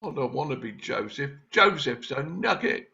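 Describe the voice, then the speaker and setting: A man's voice: mid-range voice, moderate pace, vocal fry, highs cut off, heavily acted. A man reading a cheeky schoolboy in an amateur play reading. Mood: sulky. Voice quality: cheeky, lively. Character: cheeky scruffy schoolboy playing Herod.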